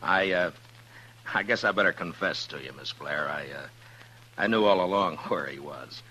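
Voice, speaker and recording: A man with an American accent playing a stern but decent frontier marshal in an old radio western, measured and sometimes halting. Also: deep voice, pushed and bright. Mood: awkward, evasive. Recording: noisy old radio recording with hum.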